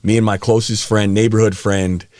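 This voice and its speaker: A man around thirty who grew up in Hawaii, a podcast guest telling stories from his life in a relaxed way, laughing often and getting animated as the story builds. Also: on the low side, normal speed, dry recording. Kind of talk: candid storytelling interview. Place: podcast studio. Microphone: studio mic.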